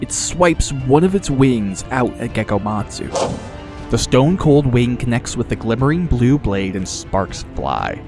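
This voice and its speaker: A man's voice: mid-range voice, sing-song, measured pace. Male fantasy audiobook narrator over music. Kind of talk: scripted dramatic fantasy narration.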